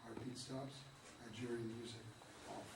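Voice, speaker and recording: A man reading stage directions aloud in a theatre room, in an even, measured way. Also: mid-range voice. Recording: roomy, distant, noisy room recording.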